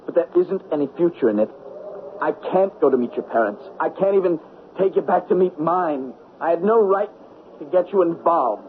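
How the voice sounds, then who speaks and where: An actor playing a tormented, terminally ill young man in love, halting and pleading, in an old-time radio drama. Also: fairly high pitch, animated, lightly acted. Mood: resigned, urgent. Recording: muffled, noisy vintage radio broadcast.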